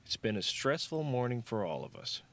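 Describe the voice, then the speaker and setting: A man voicing an unbothered, smoothly persuasive young man in an audiobook, casual and soothing. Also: medium pitch, very animated, moderate pace, fairly bright tone. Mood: calm, soothing.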